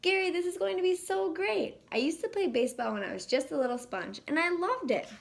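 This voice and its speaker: A woman voicing an eager, excitable young male cartoon sea sponge in a storybook, with bubbly enthusiasm. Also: very high-pitched, fairly quick, vocal fry, voice pushed up, full character voice. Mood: excited, eager.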